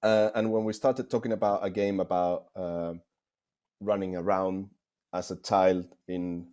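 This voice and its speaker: A man's voice: sits low, level tone, unhurried, mellow. A man from Spain with a Spanish accent, answering interview questions conversationally as a game developer, thinking aloud with frequent repeats. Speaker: game developer, guest speaker.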